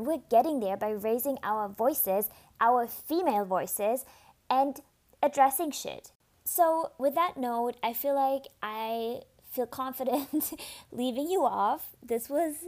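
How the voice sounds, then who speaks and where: High-voiced woman with slight vocal fry. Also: a little mellow. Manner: casual, chatty, candid, with fillers and restarts. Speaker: podcast host. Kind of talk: solo podcast monologue.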